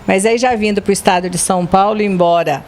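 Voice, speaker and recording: A woman's voice: medium pitch, steady tone, fairly quick, darkish tone. A woman interviewing an elderly priest, asking polite and respectful questions.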